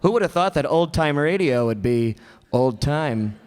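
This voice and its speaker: A man's voice: a little high, lively intonation, measured pace, a little mellow, smooth. A man hosting a live stage show with an informal, rambling and joking introduction. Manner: hesitant, rambling, joking with the audience. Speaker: host. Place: stage before a small audience. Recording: live hall with long reverb.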